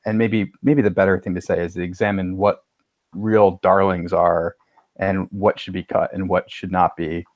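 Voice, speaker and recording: A man's voice: low voice, little pitch movement, moderate pace, no room sound. A man giving his opinions casually as a panelist on a podcast, with tentative starts and blunt views.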